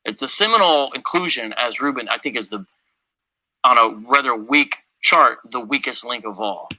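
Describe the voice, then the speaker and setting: A man's voice: mid-range voice, normal speed, pushed and bright, low-quality recording. A man giving critical commentary in a video clip, in a skeptical, dry way.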